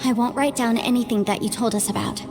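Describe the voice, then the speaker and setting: A woman playing an earnest, thoughtful young companion in a video game, with a careful and gentle delivery. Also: fairly high pitch, fairly even intonation, quick pace, lightly acted. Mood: thoughtful, reassuring. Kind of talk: dramatic video game voice acting. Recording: long reverberant hall sound. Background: clear background music.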